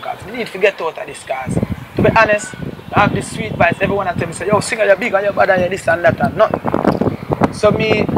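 A young man with a Jamaican accent spins a long, rambling story in patois, his voice sitting a little high. He is recorded outdoors on a rooftop. Loud wind and passing vehicles run under every word. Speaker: reggae singer, guest. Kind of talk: casual interview storytelling. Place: rooftop outdoors overlooking a city. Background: wind and traffic.

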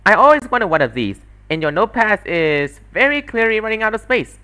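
A man voicing an eager, wry young woman sleuth in visual novel dialogue, animated and teasing. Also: high-pitched, fairly quick, clear, resonant tone, pitched above the performer's own voice, full character voice, rough recording.

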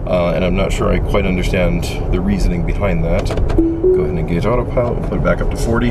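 A man with a slight cold-hoarseness narrates a drive casually, thinking aloud with frequent ums from inside a moving car. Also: low-pitched. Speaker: car reviewer testing driver assistance. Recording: loud car road noise. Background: loud road and engine noise.

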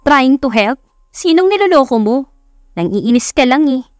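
A woman's voice: high voice, expressive, moderate pace, dry recording, studio quality, voice pushed up. A woman playing a feisty young wife in an audio love story, snappy, sarcastic and easily annoyed.

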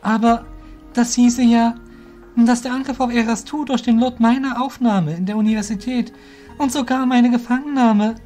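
Man voicing a high-pitched, distressed young pupil. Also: fairly even intonation, darkish tone, faint music behind. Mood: shocked, hurt. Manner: halting, shocked, earnest. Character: distressed, naive young male pupil of mages. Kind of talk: fantasy audio drama acting.

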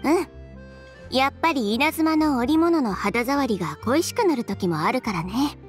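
Confident young woman designer, high lively voice, brisk, dry, sharp-tongued, game dialogue, light music behind. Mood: matter-of-fact. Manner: brisk, matter-of-fact, dryly teasing. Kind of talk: video game voice acting in Japanese.

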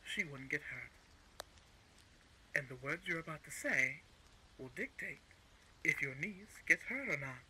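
An actor playing a smug, menacing male crime boss in an audio roleplay, speaking in a mocking, condescending and deliberate way. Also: on the high side, expressive, bright, forceful tone, a little hiss or hum, exaggerated voice, rough recording. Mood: coolly menacing. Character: smug, menacing male crime-organization villain, coolly coercive. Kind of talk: scripted audio roleplay villain acting.

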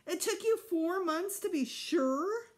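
A woman's voice: extremely high pitch, animated, slow, pitched above the performer's own voice, full character voice. A woman voicing an indignant, sulky fifth-grade boy from a storybook in a protesting, exclamatory way. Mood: incredulous.

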